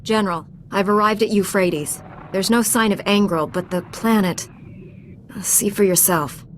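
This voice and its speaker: A woman's voice: medium pitch, a little slow, close to an everyday voice. Casting: actress for heroic young female Jedi knight, formal and earnest, game cutscene, faint ambient noise behind. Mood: grave. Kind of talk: video game cutscene acting.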